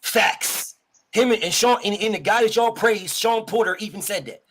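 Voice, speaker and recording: A man's voice: sits high, moderate pace, a bit bright, slight background noise. A man arguing boxing on a podcast, insistent and repetitive, talking over the other speaker to press his facts. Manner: insistent, repeats points, talks over others. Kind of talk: heated sports debate.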